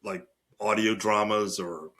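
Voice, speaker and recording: Lower-voiced man hosting an interview. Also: fairly even intonation, measured pace, fairly bright tone. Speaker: podcast host interviewer. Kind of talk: interview questions.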